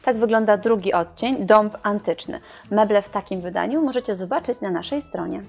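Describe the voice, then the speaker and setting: A young woman presenting and reviewing furniture in a showroom, in a clear, explanatory scripted delivery. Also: mid-range voice, fairly quick, darkish tone, soft background music.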